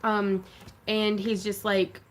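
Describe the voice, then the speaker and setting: A woman's voice: medium pitch, flat delivery, slow pace, a little hiss or hum. A woman hosting a craft livestream, chatting casually and laughing often as she greets viewers. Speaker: craft channel host.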